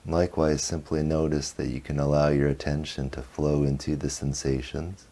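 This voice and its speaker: A man leading a guided meditation, speaking softly in short phrases with long pauses between them. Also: rumbling low, flat delivery. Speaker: meditation teacher.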